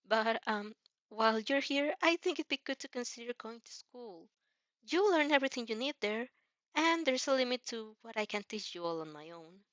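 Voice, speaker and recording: A woman's voice: fairly high pitch, lively intonation, moderate pace, fairly bright tone, no room sound, highs cut off, voice pushed up. A woman acts out a nervous, good-hearted teenage boy in a fan story reading. The voice is raised and close, with a dry sound. He speaks eagerly, stumbling over words as he reassures and helps. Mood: earnest, reassuring. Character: earnest, helpful, nervous teenage boy student.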